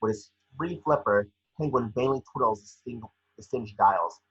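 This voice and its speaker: A man's voice: mid-range voice, moderate pace, fairly bright tone, slightly rough, lo-fi sound. A man narrating screenplay action in an amateur table read, occasionally stumbling over the script. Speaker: scene-description reader.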